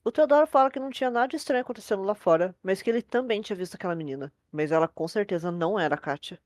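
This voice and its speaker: Fast-talking Brazilian woman narrating, dry sound. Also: medium pitch, darkish tone, dry recording. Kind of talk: informal horror storytelling narration.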